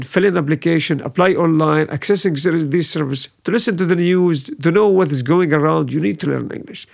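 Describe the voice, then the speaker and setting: A man's voice: fairly high pitch, gravelly. A man whose first language is Arabic, answering an interview in English in an earnest, emphatic way. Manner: emphatic, explanatory.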